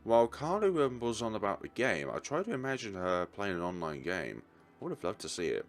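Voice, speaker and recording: Male let's player: deep, expressive read of game text, casual reactions, light music behind. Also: fairly quick. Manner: casual asides and short reactions. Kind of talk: reading game narration and dialogue aloud.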